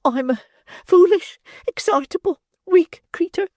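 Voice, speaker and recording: A man voicing a flustered middle-aged matron in a high, breathy, swooping falsetto. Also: a little slow, warm tone, dry recording, clean studio sound. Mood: faint fluttery.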